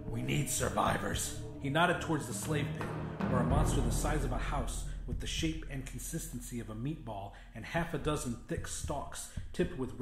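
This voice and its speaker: A man's voice: mid-range voice, echoey room. A man giving a dramatic, scripted narration of a horror story as an audiobook narrator.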